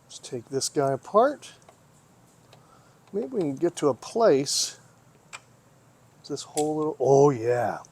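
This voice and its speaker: A man with a somewhat high-pitched voice talks through a hands-on repair. He speaks casually, as if to himself, thinking aloud and putting questions to himself as he works. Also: very animated, slow pace, pauses often, darkish tone, slightly rough. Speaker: vlogger, hobby repair host.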